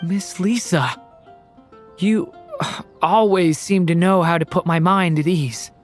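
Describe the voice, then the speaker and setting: A soft-hearted young boy, really a dragon in human form, voiced by an actor for an anime game. He speaks earnestly and gently in a mid-range youthful voice, with music behind. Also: mid-range voice, slow pace, lightly acted. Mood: grateful, resolved.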